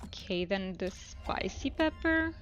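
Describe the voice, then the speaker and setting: A woman live streamer chatting casually while playing a video game, relaxed and rambling as she thinks aloud. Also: medium pitch, a little slow. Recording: music playing behind the voice. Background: music.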